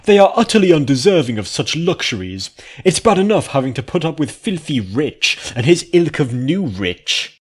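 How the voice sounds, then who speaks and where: Storybook society mare played by a man: snobbish, haughty, complaining, voice pushed higher. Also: very animated, normal speed, slightly rough. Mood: affronted. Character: snobbish, scandalised unicorn noblewoman. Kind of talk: dramatic fan fiction reading.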